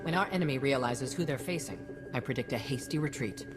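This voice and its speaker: A woman's voice: a bass voice, quick pace, over loud music. A woman playing a commanding head of state in a video game, speaking in a firm, oratorical way. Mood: resolute. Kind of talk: scripted video game acting.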